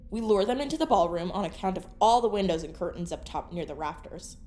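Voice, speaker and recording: An actress playing a level-headed young leader in an audio drama, earnest and dryly sardonic. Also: female voice, fairly low pitch, lively intonation, fast, warm tone, natural voice. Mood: scheming. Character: level-headed young woman leading her survivor friends.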